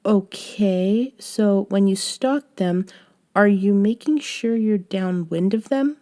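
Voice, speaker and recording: Roleplay audio: young female friend played by a woman, patient but a little bossy, soft-spoken, warm dark tone, slow delivery. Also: mid-range voice, natural voice. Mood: patient, teasing. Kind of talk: scripted roleplay audio, one-sided conversation.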